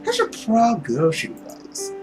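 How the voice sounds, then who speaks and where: A man voicing a smug, scornful nobleman villain in a visual novel, drawling and sarcastic with theatrical pauses. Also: high voice, huge pitch swings, over music, heavily acted. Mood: mocking, condescending. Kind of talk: visual novel character acting.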